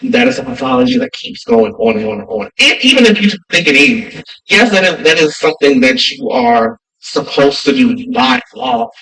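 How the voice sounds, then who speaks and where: A man's voice: fairly high pitch, sing-song, normal speed, gravelly, fairly bright tone. A man talking as a podcast guest in casual chat, emphatic and repeating phrases for effect. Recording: distant from the mic.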